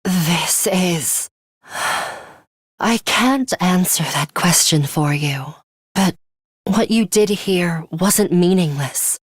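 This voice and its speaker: An actress playing a stern, cool-headed young woman fighter in a video game, with a blunt, measured and analytical way of talking. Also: lowish voice, breathy edge, dry recording, studio quality, full character voice. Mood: curt, commanding, then solemn, gentle. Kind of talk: dramatic English video game voice acting.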